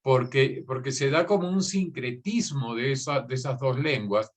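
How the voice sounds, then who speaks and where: A man's voice: mid-range voice, moderate pace. A man hosting a writing workshop conversation, responding with enthusiasm and repeating words as he builds his point. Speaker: workshop host. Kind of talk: conversational interview.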